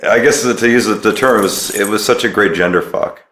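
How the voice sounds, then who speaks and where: A man's voice: lowish voice, a little fast, fairly bright tone, reverberant. A man hosting a podcast interview in a conversational style.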